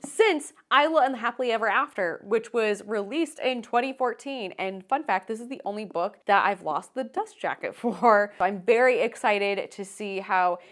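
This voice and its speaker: A woman's voice: medium pitch, moderate pace, hardly any pauses, a bit bright. A woman chatting casually and enthusiastically to viewers as the host of a book vlog, with a gushing way of talking.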